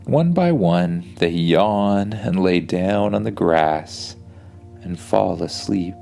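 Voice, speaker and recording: Low, slow man telling a bedtime story. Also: lively intonation, gravelly, mellow, faint music behind. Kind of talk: improvised bedtime story for kids.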